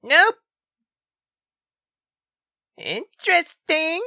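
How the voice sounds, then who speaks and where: Woman putting on a high, bright, tipsy young woman. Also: sing-song, gravelly, dry recording, studio quality, full character voice. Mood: tipsy, affectionate. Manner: slangy, rambling, halting drunken delivery. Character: drunk, giggly, bossy young woman, affectionate best friend.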